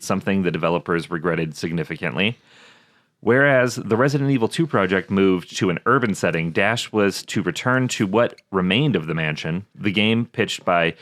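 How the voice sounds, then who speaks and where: A man's voice: sits low, a bit bright, dry recording, clean studio sound. A man co-hosting a podcast, switching between casual, joking banter and reading from notes. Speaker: podcast co-host.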